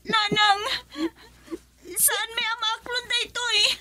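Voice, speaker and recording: A woman's voice: very high-pitched, flat delivery, slow, crowd noise. A woman with a Filipino accent playing a heartbroken young child in a radio drama, plaintive and tearful. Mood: shocked, hurt. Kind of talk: Ilocano radio drama acting.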